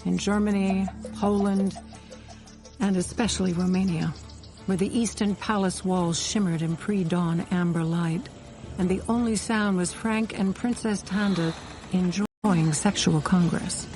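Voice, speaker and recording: An actress playing a sharp-witted American intelligence officer, narrating her Cold War memories in a dry, wry radio drama style. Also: mid-range voice, fairly even intonation, unhurried, close to an everyday voice. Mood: wry, knowing. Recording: music bed behind studio narration.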